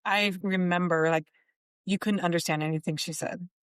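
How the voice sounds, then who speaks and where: A woman's voice: on the low side, fairly even intonation, moderate pace, smooth, no echo, studio quality. A woman co-hosting a podcast, chatty and recounting memories. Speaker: podcast co-host. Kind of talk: casual chat.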